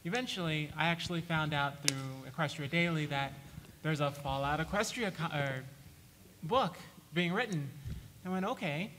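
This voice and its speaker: Bright-voiced man in an echoing hall. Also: fairly high pitch, moderate pace. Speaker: panelist. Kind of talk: casual personal recollection. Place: large convention meeting room, panel table. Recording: reverberant, faintly noisy convention hall.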